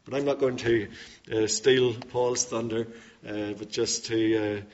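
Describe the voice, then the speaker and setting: A middle-aged man with a Northern Irish accent, the assistant director of a mission, addressing a church congregation in a measured, earnest way with frequent "uh" pauses. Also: medium pitch, steady tone, darkish tone, lo-fi sound. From Northern Ireland.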